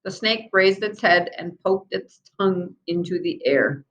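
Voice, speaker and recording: A woman reading a children's book aloud in an expressive storyteller's manner, with small asides to her listeners. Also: on the low side, measured pace, dull audio. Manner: expressive, acts out the children's dialogue.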